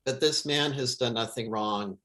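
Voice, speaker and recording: A man who is a pastor, reading scripture aloud in a solemn, measured way. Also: mid-range voice, sharp tone.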